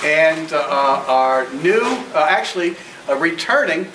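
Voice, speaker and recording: High-voiced man in an echoey meeting room. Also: animated. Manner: courteous, ceremonious, halting. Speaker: outgoing club president. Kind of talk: formal welcome speech at a club meeting. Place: meeting room, recorded from a distance. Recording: echoey room, distant mic, noisy.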